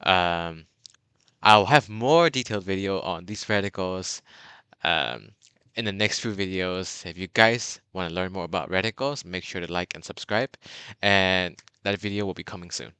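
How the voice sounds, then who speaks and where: A man's voice: low-pitched, moderate pace, bright, forceful tone, clean tone, no echo. A young man from Burma giving a casual video lesson for beginners, chatty and full of fillers and restarts. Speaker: language tutor.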